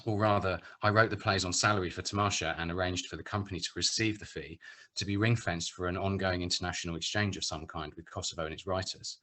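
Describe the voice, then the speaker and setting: A middle-aged man with a British accent delivers a prepared talk. His voice sits very deep yet has a bright, firm edge. He is articulate and measured, like a writer used to telling stories to an audience. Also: steady tone. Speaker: theatre director and writer giving a talk. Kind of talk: prepared speech at an online panel event.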